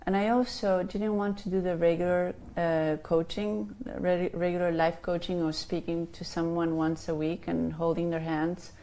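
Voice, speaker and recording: A woman's voice: lowish voice, mellow. A woman in her fifties from Chile with a Chilean Spanish accent, a life coach answering interview questions with many ums and restarts.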